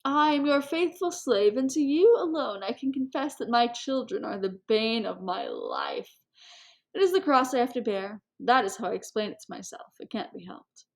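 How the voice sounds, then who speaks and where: A woman's voice: fairly high pitch, very animated, moderate pace, vocal fry. Woman acting world-weary, cynical aristocratic prince. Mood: resigned, flattering.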